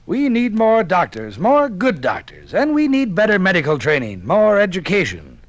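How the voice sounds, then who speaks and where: A man's voice: high-pitched, huge pitch swings, normal speed, no echo. A man with an American accent acting an earnest, oratorical 19th-century doctor in a 1950s radio drama. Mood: urgent, earnest. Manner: emphatic, oratorical. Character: earnest 19th-century doctor urging public health reform.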